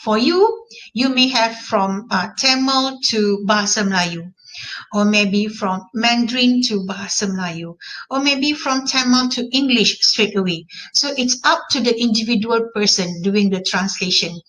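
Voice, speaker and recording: A woman's voice: on the high side, a little slow, fairly bright tone, slight background noise, poor audio. A woman with a Malaysian accent, a native Malay speaker, giving an online class lecture and repeating key terms for emphasis.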